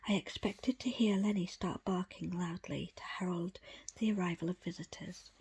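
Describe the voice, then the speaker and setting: Breathy whispering woman reading aloud. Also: lowish voice, a little mellow. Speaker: book reader presenting an audiobook extract. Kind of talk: ASMR whispered book reading.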